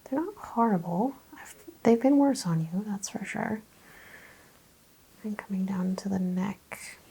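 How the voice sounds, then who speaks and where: A woman doing a soft-spoken ASMR chiropractor roleplay, gently explaining and asking questions in short phrases, often slipping into a whisper. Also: mid-range voice, lively intonation, a little slow, husky, poor audio. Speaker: ASMR host playing a chiropractor.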